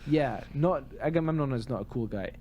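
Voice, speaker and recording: A man's voice: mid-range voice, lively intonation, a little fast, warm tone, slight background noise. A man co-hosting a podcast in casual chat, with a jokey, wry way of talking. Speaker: podcast co-host.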